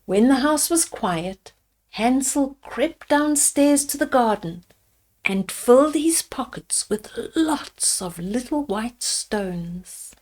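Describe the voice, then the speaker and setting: An older woman storyteller with a fairly high voice reads a children's fairy tale very slowly. She is deliberate in her delivery, pausing to explain things to her young listeners as she goes. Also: lively intonation, a little mellow. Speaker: storyteller reading children's picture books. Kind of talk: read-aloud bedtime fairy tale with asides to children.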